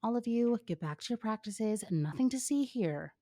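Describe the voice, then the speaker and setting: A woman's voice: on the high side, expressive, normal speed, mellow, voice pushed up. A woman voicing an authoritative teenage girl in a story reading, barking businesslike orders as a protective best friend. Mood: commanding.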